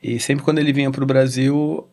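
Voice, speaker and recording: A middle-aged Brazilian man with a Brazilian Portuguese accent, a guest in a casual interview, telling a story in halting sentences with frequent "né?" tags. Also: mid-range voice, flat delivery, darkish tone. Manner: halting, with many restarts and "né?" tags. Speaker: interview guest, real estate executive.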